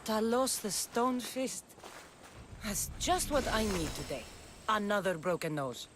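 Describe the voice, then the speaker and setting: A woman's voice: mid-range voice, very animated, measured pace, music in the background. An actress playing a tough young woman mercenary who speaks bluntly and with dry humor. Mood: wry.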